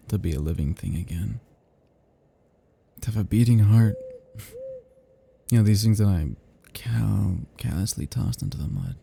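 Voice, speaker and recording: A man's voice: on the low side, dark tone, slight background noise. A man playing a melancholy, possessive vampire in an ASMR roleplay, murmuring intimately and often dropping into whispers. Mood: melancholy, bitter. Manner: slow, intimate, breathy murmuring that drops into whispers. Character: seductive, melancholy, possessive male vampire, self-loathing immortal predator.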